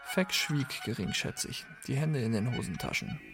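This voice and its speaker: A man reading scripted narration for a radio play in a measured literary manner. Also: mid-range voice, expressive, music in the background.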